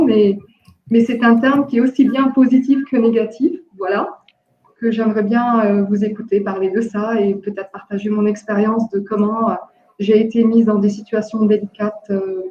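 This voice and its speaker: A woman from Jordan with no accent, speaking haltingly with many fillers and restarts in an online discussion workshop. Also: medium pitch, mellow. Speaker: workshop participant, entrepreneur. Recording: echoey, dull lo-fi call audio.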